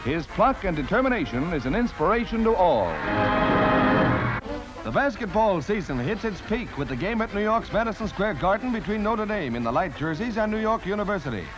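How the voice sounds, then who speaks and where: A male American newsreel narrator from the 1940s, delivering scripted copy with theatrical punch. His voice sits high and swings widely in pitch, with music playing clearly behind it. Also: very animated, fairly quick, rough recording. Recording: vintage 1940s newsreel soundtrack.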